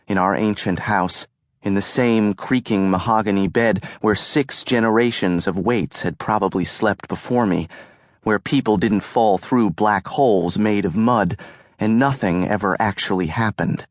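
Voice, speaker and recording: A man narrating an audiobook in the first person, telling the story in an earnest, measured way. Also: fairly low pitch, no room sound, studio quality.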